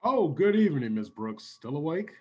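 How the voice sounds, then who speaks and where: Guy acting a raspy playboy bachelor, bit fumbling, radio comedy, voice bouncing around. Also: on the high side, very animated, moderate pace, muffled recording. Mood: tipsy, teasing. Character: tipsy playboy bachelor devoted to his dog.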